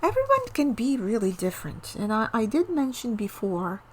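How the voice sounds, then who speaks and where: A woman's voice: fairly high pitch, huge pitch swings, moderate pace, a little hiss or hum, poor audio. A woman from Morocco, hosting an informal lecture on culture, earnest and explanatory, often checking in with the listener. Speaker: host, cross-cultural trainer.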